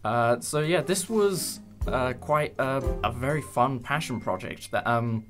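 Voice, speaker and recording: A young man chatting casually in an interview, hesitant and rambling with many pauses. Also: medium pitch. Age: young adult. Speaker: creator of a fan audio drama. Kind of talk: casual behind-the-scenes interview. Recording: light music bed under speech. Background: background music with guitar.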